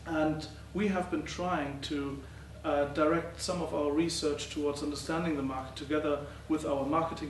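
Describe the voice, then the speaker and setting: Halting middle-aged man lecturing in a hall. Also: fairly high pitch. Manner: halting, frequent uh and um fillers. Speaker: professor presenting research. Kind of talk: conference talk. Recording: roomy, distant, faint noise.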